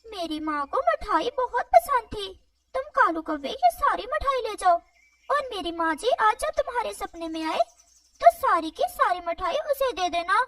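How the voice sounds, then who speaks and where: High woman playing a female cartoon eagle, emphatic, stern big-sister type, lively pitch, dry sound. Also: moderate pace, no echo, recorded from a distance, full character voice. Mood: tearful, earnest. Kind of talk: animated children's moral story dialogue.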